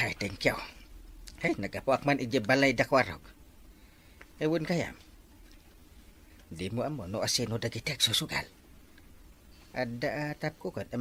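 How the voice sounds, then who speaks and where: A man with an Ilocano accent playing an excitable, scheming village captain in a comedy drama. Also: mid-range voice.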